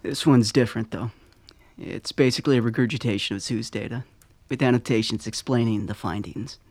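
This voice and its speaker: A man playing a shrewd leader in a radio drama, with a brisk, matter-of-fact manner. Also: mid-range voice, a little mellow, no echo, natural voice. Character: shrewd male leader analysing intelligence.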